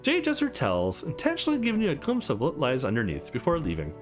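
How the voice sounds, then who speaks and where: A man's voice: medium pitch, wildly swooping pitch, fast talker, over music. A man hosting a let's play, narrating visual novel text in a dramatized way.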